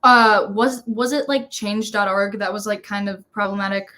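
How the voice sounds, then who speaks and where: A woman's voice: medium pitch, fairly even intonation, moderate pace, bright, forceful tone. A young woman chatting casually as a guest in an interview. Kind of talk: casual chat.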